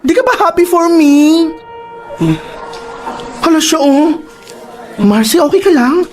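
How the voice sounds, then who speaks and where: A voice actor playing a flamboyant, gossipy office friend who talks loudly and dramatically, in a radio drama. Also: sits high, animated, slow pace, faint music behind. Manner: chatty, teasing, shrieks in panic.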